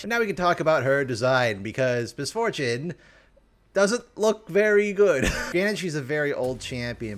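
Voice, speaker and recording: Guy reviewing game stuff, chatty and rambly, exclaims a lot, mid-range voice. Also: mid-range voice. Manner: animated, exclamatory, mock-exasperated asides. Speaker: video host reviewing game characters. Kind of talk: casual commentary, rambling review.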